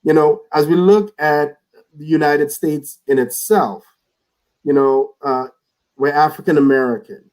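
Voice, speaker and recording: A man's voice: fairly high pitch, fairly even intonation, a little slow, darkish tone. A man speaking as a guest in a reflective conversation over a video call, earnest, often repeating words as he starts a thought.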